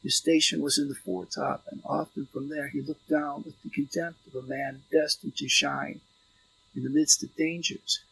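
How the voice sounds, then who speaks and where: A man reading literary fiction as an audiobook narrator, delivering it in measured phrases. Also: fairly high pitch, steady tone, vocal fry, a bit bright, slight background noise, rough recording.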